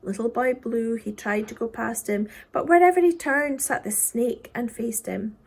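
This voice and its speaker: A woman reading a children's poem expressively, with a theatrical manner that dips into hushed tones. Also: a little high, normal speed, vocal fry, breathy edge. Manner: theatrical, dropping into hushed whispers. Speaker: story reader.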